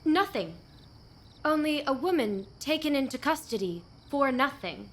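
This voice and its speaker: A woman's voice: high-pitched, sing-song, a little slow. An actress playing a cynical, guarded young woman in a radio play. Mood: sardonic, guarded.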